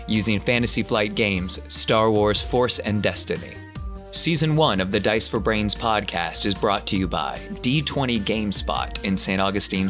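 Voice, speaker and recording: A man's voice: lowish voice, a bit bright. A man hosting and narrating a tabletop role-playing podcast as game master.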